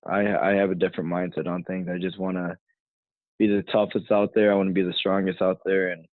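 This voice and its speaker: A man's voice: sits low, flat delivery, a little fast, no room sound. A young man in his twenties from the United States, talking as a podcast guest in a casual, rambling way full of "you know" fillers, heard over a tinny phone line. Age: 20s. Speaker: guest, fighter.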